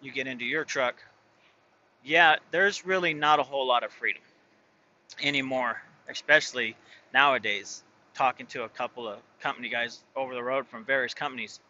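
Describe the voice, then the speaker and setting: A man's voice: medium pitch, normal speed, pushed and bright, lo-fi sound. A man who drives his own truck, talking casually and rambling, full of fillers and restarts. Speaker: truck driver and owner operator. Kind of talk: casual vlog talk.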